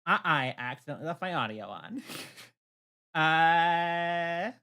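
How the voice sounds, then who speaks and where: Casting: female streamer with a low, forceful voice, casual, stammering and sweary. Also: expressive, takes it slowly, clear, resonant tone. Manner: stammering repetition, freely swearing. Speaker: livestream host. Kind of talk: casual chat.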